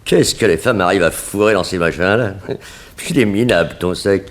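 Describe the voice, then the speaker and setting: An actor playing a calm, coercive and sardonic blackmailer in a 1960s French radio crime drama. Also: male voice, sits low, brisk. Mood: brisk, businesslike. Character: menacing male blackmailer, smooth crook in haute couture.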